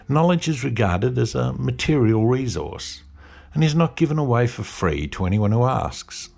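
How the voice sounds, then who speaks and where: Gravelly low-voiced man lecturing. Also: darkish tone. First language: English. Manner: measured, lecturing delivery. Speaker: podcast host. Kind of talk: scripted narration.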